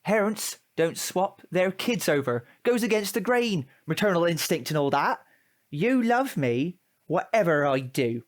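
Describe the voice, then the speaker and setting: A man's voice: high-pitched, sing-song, measured pace. A British man playing a cheeky, aggrieved teenage boy in a comic audio drama, indignant, slangy and wheedling. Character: cheeky, aggrieved, foul-mouthed male teenage tearaway.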